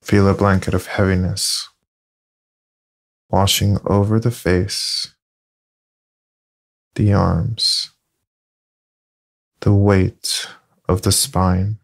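A man leading a yoga nidra session, giving soft, calm, unhurried instructions with long pauses. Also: deep voice, gravelly. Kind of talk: slow guided meditation.

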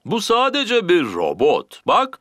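A man's voice: high voice, wildly swooping pitch, takes it slowly, fairly bright tone, a little hiss or hum, full character voice. A man voicing a kindly, playful father pig in a dubbed preschool cartoon. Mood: encouraging. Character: cheerful adult male pig, a kindly father.

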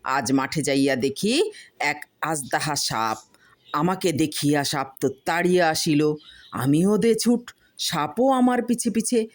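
A woman voicing a boastful village husband who spins excited tall tales, repeating words for suspense in a storybook reading. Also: sits low, measured pace.

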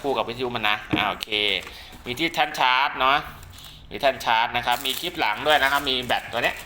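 A man's voice: mid-range voice, quick pace. A man giving a casual product demonstration as a seller, rambling and repeating himself with frequent polite tags. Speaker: radio seller and channel host presenting products. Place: at home during heavy rain. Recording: loud rain noise behind the voice. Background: heavy rain.

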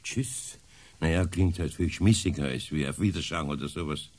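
An actor with a Bavarian accent playing a kindly, amused older carpenter uncle in a radio play, husky and easygoing. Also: male voice, rumbling low, normal speed, darkish tone. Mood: amused, warm. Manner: easygoing, hesitant, folksy. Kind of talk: German radio play acting.

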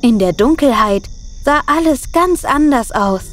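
A woman's voice: sits high, expressive, measured pace. A woman narrating a scripted children's cartoon story. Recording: music behind the narration.

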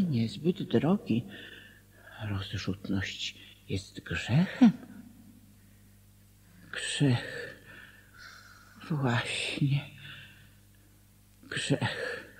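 An actor playing a timid, miserly, guilt-ridden moralist in a Polish radio drama, stammering and muttering to himself. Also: male voice, a little high, darkish tone, breathy edge, big-room sound, heavily acted.

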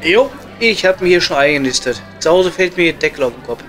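Guy doing a matey ruhr miner down the pub, high voice, blunt slang, comedy radio play, bit of music behind. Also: expressive, brisk, a bit bright. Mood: chummy. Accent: Ruhr German. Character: chummy, gruff Ruhr coal miner drinking in his local pub. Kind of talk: improvised comedy audio play.

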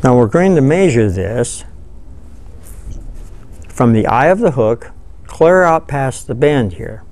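An older man giving a relaxed, folksy fly-tying tutorial, thinking aloud as he works at a table in a studio. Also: medium pitch, takes it slowly. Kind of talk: hands-on tutorial. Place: studio with dark backdrop, seated at table.

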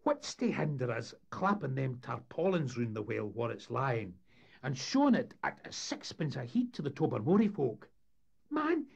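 A man's voice: fairly high pitch, creaky, a little mellow, breathy edge, dull audio, voice pushed up, exaggerated voice. A man voicing an eager, boastful young Scottish deckhand with enthusiastic salesman's patter in a humorous storybook tale.

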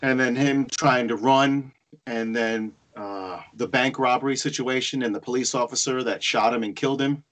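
A man of medium pitch gives feedback over a video call. He talks casually and haltingly, thinking aloud and building his point in short phrases. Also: steady tone, fairly bright tone. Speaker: table-read participant giving feedback. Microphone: video-call microphone.

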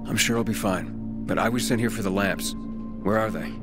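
Man acting low-voiced, determined game hero. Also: normal speed, natural voice. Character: calm, daring young male hero of a post-apocalyptic game. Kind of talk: video game dialogue. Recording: loud music behind the voice. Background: loud game music.

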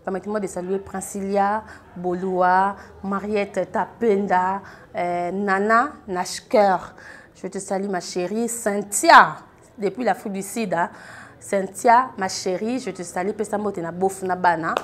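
A woman's voice: mid-range voice, fairly even intonation, a little slow, fairly bright tone. A middle-aged Congolese woman hosting a talk show to camera, speaking casually and animatedly and repeating phrases for emphasis. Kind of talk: casual spoken commentary to camera, mixing French and Lingala. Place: living room, indoors. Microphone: lapel mic.

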